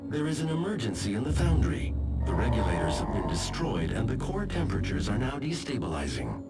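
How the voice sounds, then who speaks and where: A man voicing a calm, dutiful artificial intelligence in a video game, giving formal, precise status reports. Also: medium pitch, a little fast. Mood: composed warning. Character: calm male-voiced artificial intelligence facility computer, dutiful. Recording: loud music underneath.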